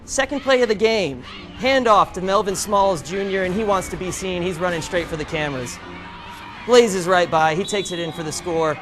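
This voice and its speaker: An American man reporting high school football highlights in a conversational, upbeat style. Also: normal speed, noisy background. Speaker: sports reporter. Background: music bed.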